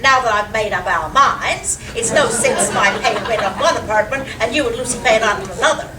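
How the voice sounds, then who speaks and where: A woman's voice: a little high, fairly quick, a loud crowd, close to an everyday voice. An actress playing a domineering, businesslike older mother-in-law in a live radio play, clipped and brusque. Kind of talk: live old-time radio play acting. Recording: echoey distant stage recording with noise.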